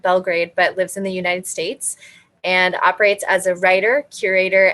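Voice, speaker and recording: A woman's voice: mid-range voice, bright, forceful tone, rough recording. A woman hosting an online zine festival, introducing presenters in an upbeat way and reading their bios aloud. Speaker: festival event host.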